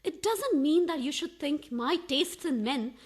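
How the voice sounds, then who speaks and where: Casting: woman to play an imperious farce wife for radio, high-pitched, suspicious, haughty, with mocking wit. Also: lively intonation, unhurried. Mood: haughty, offended. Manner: haughty, sarcastic. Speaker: actor.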